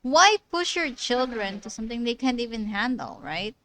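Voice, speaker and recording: A woman's voice: a little high, lively intonation, normal speed, fairly bright tone, slight background noise. A woman live streaming a game, reacting with short, outspoken interjections.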